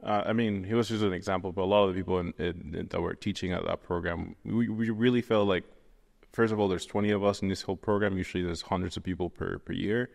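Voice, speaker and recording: A man's voice: low voice, steady tone, fast, creaky, darkish tone, in a large hall. A young man from Venezuela, a guest in a casual interview, rambling with many fillers and restarts. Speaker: guest, 3D artist.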